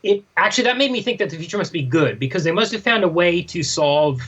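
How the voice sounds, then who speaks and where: A podcast co-host chatting casually about comics, thinking aloud in an animated way. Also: medium pitch, fast, slightly rough, lo-fi sound. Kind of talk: casual comic book discussion.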